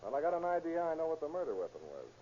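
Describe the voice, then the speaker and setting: A scratchy old radio recording of an American police detective. He talks very fast in a clipped, insistent, businesslike way, all persistence and procedure. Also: a little high, wildly swooping pitch, dark tone, lo-fi sound. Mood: confident. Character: brisk, persistent male police detective lieutenant. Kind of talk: 1930s radio crime drama acting.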